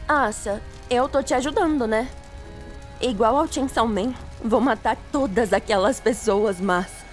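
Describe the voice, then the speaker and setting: A very high-voiced Brazilian schoolgirl played by a woman, chirpy and self-righteous, in an anime-style dub. She speaks earnestly with a calm menace underneath, over background music. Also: unhurried, natural voice. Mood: calmly menacing. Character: cheerful, self-righteous schoolgirl turned justice devil contractor. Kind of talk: anime-style fan dub of a motion manga. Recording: music behind the voice.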